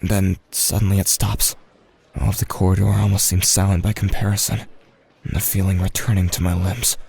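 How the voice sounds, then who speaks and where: A man's voice: low-pitched, flat delivery, brisk, vocal fry, a little mellow. An actor playing an anxious, volatile teenage boy who narrates his own story with tense intensity in an audio drama. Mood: shaken. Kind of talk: first-person dramatic narration.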